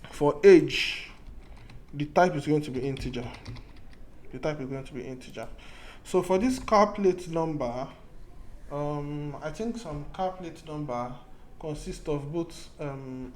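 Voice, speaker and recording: A man teaches a programming lesson in a loose, talk-it-through way, stumbling over restarts and saying his main points twice. His voice sits a little high for a man. A faint hum of background noise runs under the recording. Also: a little mellow. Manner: thinks aloud with many restarts, repeats key points. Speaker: programming tutor. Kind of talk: casual screen-recorded coding lesson.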